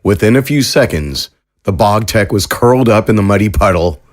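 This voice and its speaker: A man narrating an audio drama in a steady storytelling delivery. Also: lowish voice, normal speed.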